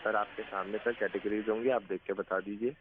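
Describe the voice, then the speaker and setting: A man's voice: fairly low pitch, steady tone, fairly quick. An Indian man working as a customer service agent, speaking politely, patiently and deferentially on a call. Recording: thin phone line, clear static and clicks.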